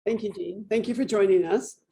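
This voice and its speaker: Woman panel moderator, mellow tone, brief polite handoffs. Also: medium pitch, fairly quick, creaky, slight background noise.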